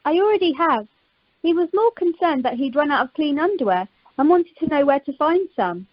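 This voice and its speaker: A woman's voice: high-pitched, animated, normal speed, no echo. A woman playing an eager, coy and playful romantic woman in an amateur radio play over a phone line. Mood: teasing. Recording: muffled telephone conference line.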